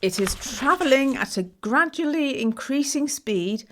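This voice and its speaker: A woman playing a calm, precise scientist matter-of-factly predicting disaster in an amateur audio play. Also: sits high, slight background noise. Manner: precise, measured explanation.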